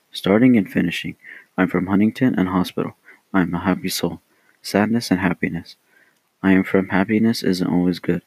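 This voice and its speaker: A teenage boy reading his own poem aloud in a flat, even way. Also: sits low, husky, no room sound, poor audio. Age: teens. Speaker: student.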